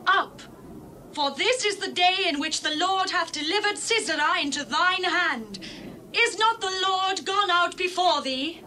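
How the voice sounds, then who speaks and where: A woman's voice: extremely high pitch, expressive, unhurried, bright, forceful tone, full character voice. A woman playing an exultant biblical prophetess in a dramatized scripture reading, declaiming solemnly. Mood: urgent, commanding. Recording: clear background ambient noise.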